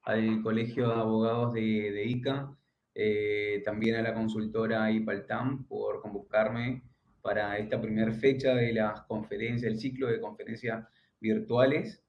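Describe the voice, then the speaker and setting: A man's voice: lowish voice, little pitch movement, unhurried. Argentine guy with a northeast accent lecturing on a video call, lots of ehs and restarts, roomy echo, muffled lo-fi audio. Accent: northeastern Argentine Spanish, from the Paraguay border. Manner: hesitant, many "eh" fillers and restarts. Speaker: lawyer giving a lecture. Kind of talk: virtual conference talk. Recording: echoey room, dull lo-fi sound.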